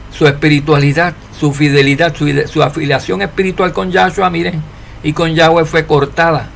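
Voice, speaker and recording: A man preaching an informal sermon to his followers, asking rhetorical questions and swinging between loud and soft. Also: on the high side, gravelly, slight background noise. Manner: preachy, rhetorical questions, animated rises and drops in loudness.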